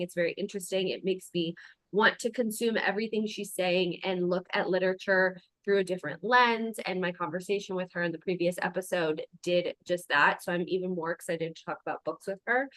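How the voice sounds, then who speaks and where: A woman's voice: lowish voice, steady tone, brisk, a bit bright, no room sound. A woman hosting a book podcast interview, asking questions and adding affirming mm-hmms. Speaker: podcast host.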